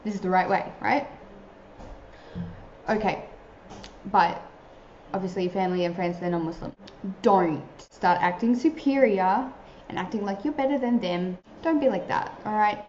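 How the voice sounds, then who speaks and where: Earnest young woman, mellow and roomy. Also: medium pitch, normal speed, long pauses, slight background noise. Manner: earnest, chatty, many filler likes. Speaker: vlogger giving advice to new converts. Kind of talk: casual advice talk to camera. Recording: roomy, slightly dull sound.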